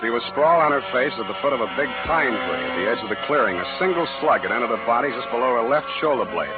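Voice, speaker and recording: A wisecracking private eye, played by a man tells his case in brisk, deadpan radio narration. The sound is thin and tinny, with loud music behind him. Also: medium pitch, close to an everyday voice. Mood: wry, hard-boiled. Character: wry, hard-boiled male private detective telling his case. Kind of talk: 1940s radio detective narration.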